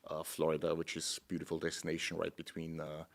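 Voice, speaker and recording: A middle-aged man from Austria with an Austrian accent, a studio guest answering questions politely and a bit haltingly. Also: extremely low pitch, monotone, vocal fry. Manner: polite, measured, slightly halting. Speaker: guest, hotel general manager. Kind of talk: interview answers.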